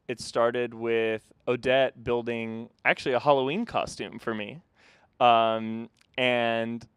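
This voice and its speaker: A man from California explains his film project in a measured, explanatory way, with a few fillers. His voice is smooth and resonant. The recording is dry, with almost no room sound. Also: mid-range voice, dry recording. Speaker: filmmaker. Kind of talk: casual interview answers.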